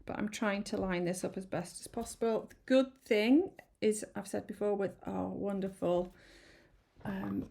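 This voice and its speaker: A woman chatting through a card-making tutorial, a little croaky from hay fever, rambling and apologetic with many ums. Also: mid-range voice, fairly even intonation, measured pace, dark tone, a little hiss or hum. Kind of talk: casual craft tutorial. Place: home craft room.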